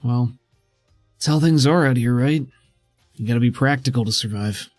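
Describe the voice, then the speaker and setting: A man's voice: mid-range voice, moderate pace, darkish tone, dry recording, clean studio sound, faint music behind, close to an everyday voice. A man voicing a pragmatic, hard-bitten survivor in a tabletop role-playing game, matter-of-fact and worldly.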